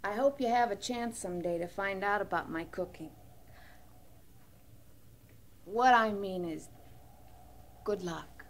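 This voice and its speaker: A woman's voice: medium pitch, normal speed, lightly acted. An actress playing a proud, forthright young frontier woman in an old-time radio western, spirited and pointed in manner. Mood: soft, fond.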